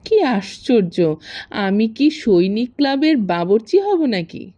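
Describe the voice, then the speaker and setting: A woman reciting a romantic poem expressively, with tender, wistful and deliberate phrasing, in a muffled, echoing recording. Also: a little high, big pitch swings, warm tone. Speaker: poetry reciter.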